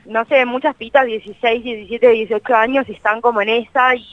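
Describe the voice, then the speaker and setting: A woman's voice: on the high side, steady tone, brisk, no room sound, highs cut off. A young Argentine woman chatting casually as an interview guest, with a colloquial, slangy and self-deprecating manner. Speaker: interview guest, co-creator of a meme page. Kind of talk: casual chat in a radio interview.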